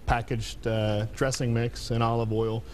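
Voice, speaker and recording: A middle-aged man, a diner chef, showing a recipe on a TV cooking segment in a plain, relaxed way with a few "uh" pauses. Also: medium pitch, slight background noise. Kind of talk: cooking demonstration. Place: TV studio kitchen set.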